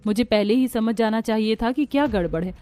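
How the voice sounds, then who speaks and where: A woman's voice: fairly high pitch, brisk, mellow, natural voice. A woman voicing a hurt, self-respecting young daughter-in-law with an earnest, emotional delivery. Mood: hurt, reproachful.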